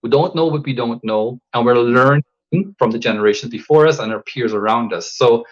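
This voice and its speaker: A man from Guyana speaks at a middle pitch in a reflective podcast interview. He talks in a measured, thoughtful way and often restarts his sentences. The audio sounds slightly dull and lo-fi. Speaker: podcast guest, coach.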